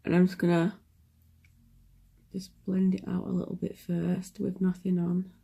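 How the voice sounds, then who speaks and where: A young woman with a cold talks her way through a makeup tutorial. Her voice is a little low and gravelly, with a sniffly edge. She chats in a self-deprecating way and often slips into soft whispers while she concentrates. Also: monotone, a little slow. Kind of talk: casual makeup tutorial chat.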